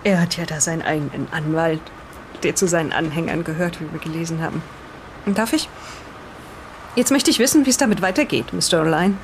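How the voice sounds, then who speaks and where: A woman playing a shocked, reproachful wife in an improvised audio drama, speaking emotionally and haltingly. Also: low voice, a little hiss or hum. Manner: emotional, indignant, halting when shaken. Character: shocked, reproachful wife clinging to hope about her husband.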